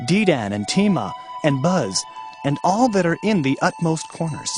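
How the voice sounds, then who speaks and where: Warning prophet, scripture drama: higher-pitched, very animated, solemn, declamatory. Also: male voice, moderate pace, over music.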